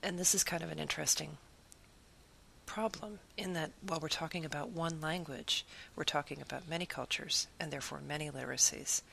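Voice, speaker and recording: A woman's voice: sits low, vocal fry, pushed and bright, slight background noise, low-quality recording. A woman who teaches Spanish, giving an earnest, reflective talk about her teaching and piling up long lists of phrases.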